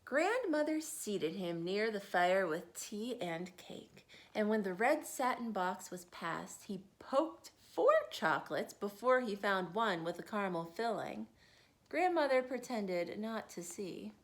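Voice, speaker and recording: Expressive mom reading a picture book aloud. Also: female voice, mid-range voice, measured pace. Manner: expressive, sing-song. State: tired. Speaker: mother reading to children.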